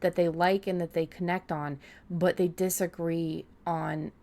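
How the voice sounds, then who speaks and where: A woman with a somewhat low-pitched voice chats as a podcast co-host giving writing advice. She is informal and rambles a little, correcting herself as she works toward her point. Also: little pitch movement, unhurried, warm tone. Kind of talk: casual writing-advice discussion.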